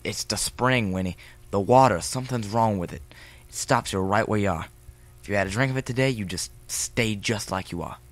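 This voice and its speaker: Man playing an earnest, low-voiced teenage boy. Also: fairly quick, lightly acted. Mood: earnest confession. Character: earnest seventeen-year-old boy, secretly immortal. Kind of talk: fan audio drama acting.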